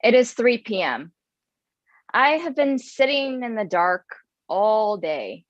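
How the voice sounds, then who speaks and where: A woman performing spoken word poetry at an open mic, reciting in a measured, deliberate way. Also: fairly high pitch, very animated, dry recording. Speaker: poet performer. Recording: dull lo-fi sound.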